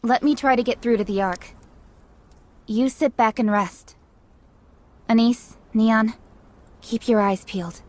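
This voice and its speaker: A woman playing a composed, protective female squad leader in a game, speaking in a measured, authoritative way. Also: on the high side, a little mellow, close to an everyday voice. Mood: calm, steady. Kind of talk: dubbed game cutscene voice acting.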